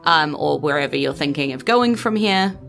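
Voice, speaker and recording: A woman runs a tabletop game in a casual, improvised style. Her voice is low and bright, with plenty of creak at the edges. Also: expressive, normal speed, faint music behind. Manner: casual, conversational. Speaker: game master.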